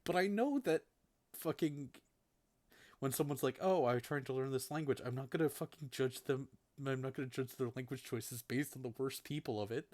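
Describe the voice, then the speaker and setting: A man's voice: mid-range voice, normal speed, darkish tone. A man hosting casual game commentary, blunt and sweary, laughing often. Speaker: host.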